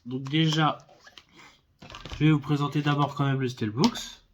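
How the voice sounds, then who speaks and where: A man's voice: on the high side, steady tone, measured pace, noisy background. A man with a stuffy head cold casually presenting a collector's box set, hesitant and friendly, with lots of filler sounds. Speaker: film collector YouTuber. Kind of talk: casual unboxing video.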